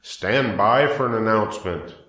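Storybook loudspeaker announcer, played by a man: pompous, formal, booming, full of self-importance. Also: measured pace, roomy sound. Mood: commanding. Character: pompous fairground loudspeaker announcer making grand proclamations.

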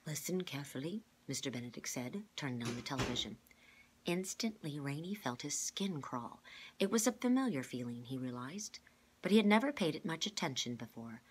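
Husky low-voiced woman reading aloud. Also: a bit bright. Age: middle-aged. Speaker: teacher reading aloud to a class. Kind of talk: read-aloud storybook narration. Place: indoor room, classroom.

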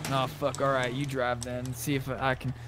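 A man's voice: medium pitch, completely flat delivery, moderate pace, fairly bright tone. A man chatting casually and informally, with some swearing, as he plays an online roleplay game. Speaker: video game player. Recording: noisy game voice chat.

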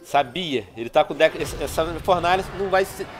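A man's voice: on the high side, fairly quick, soft background music. Congested Brazilian man gaming on a headset. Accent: Brazilian Portuguese. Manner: chatty, addresses viewers constantly, excitable outbursts. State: congested with a cold. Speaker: gaming YouTuber. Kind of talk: casual gameplay commentary. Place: small room at home, indoors.

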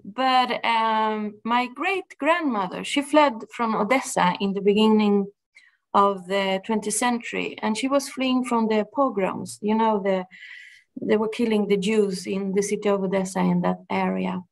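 A middle-aged woman with an Argentine Spanish accent gives a personal talk, her voice carrying a gravelly edge. She speaks thoughtfully, often pausing and restarting before she finds her words. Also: medium pitch, low-quality recording. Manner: thoughtful, halting with frequent restarts and fillers. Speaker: gardener and project manager giving a talk.

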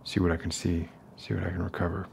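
A man acts out an unstable middle-aged survivalist captor in a soft-spoken ASMR roleplay. His voice is very low and full of gravelly fry. He rambles in hesitant reassurances, with faint noise in the basement room. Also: little pitch movement, quick pace. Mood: reassuring, halting. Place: dim concrete basement, indoors.

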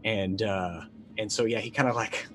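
A middle-aged man playing a tabletop roleplaying game, improvising casually and haltingly as he thinks aloud. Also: lowish voice, steady tone, fairly bright tone, a little hiss or hum.

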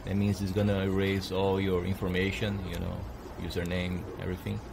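Low-voiced man explaining a laptop fix. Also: monotone, a little fast, creaky, noisy background. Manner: plain, hesitant, with fillers and restarts. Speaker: tech channel host. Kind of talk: casual how-to tutorial.

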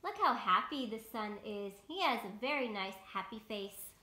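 A woman's voice: on the high side, animated, moderate pace, pushed and bright. A woman in her thirties talking to young children as a bedtime story host, in simple words with questions to the listener. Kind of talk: storytime talk to young children, read-aloud. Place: indoors, small bedroom. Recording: roomy, echoey home recording.

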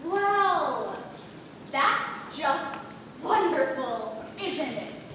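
A woman's voice: sits high, lively intonation, drawn-out words, heavily acted. A teenage woman acting a bossy, doom-laden stage manager in a comedy, overdramatic and sarcastic, on a theatre stage. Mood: sarcastic, exasperated. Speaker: student stage actor. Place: large school theatre stage, audience present. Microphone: far camera in audience. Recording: distant, noisy, echoey auditorium stage recording.

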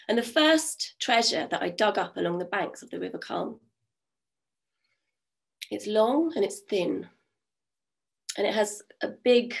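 Croaky-voiced woman telling stories. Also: mid-range voice. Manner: warm, chatty, with many fillers. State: croaky from a cold. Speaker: storyteller. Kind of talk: oral storytelling. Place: home room. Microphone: video call webcam.

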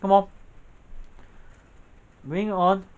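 A man's voice: high-pitched, steady tone, slow, darkish tone, smooth, lo-fi sound. A man casually commenting while playing a game, exclaiming and muttering in frustration. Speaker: gamer.

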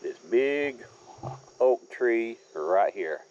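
A man's voice: mid-range voice, lively intonation, extremely slow, a little mellow, a little hiss or hum. Easygoing man on his land. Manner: easygoing, rambling, folksy. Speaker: homesteader showing his land. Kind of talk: casual walk-around narration. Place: outdoors on rural wooded land. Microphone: handheld camera. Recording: slightly dull outdoor camera audio. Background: crickets and insects.

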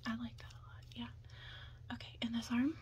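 A young woman doing an ASMR roleplay in whispers and soft talk, hushed, chatty and a little hesitant, recorded close up indoors. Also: fairly high pitch, little pitch movement, moderate pace, dull audio.

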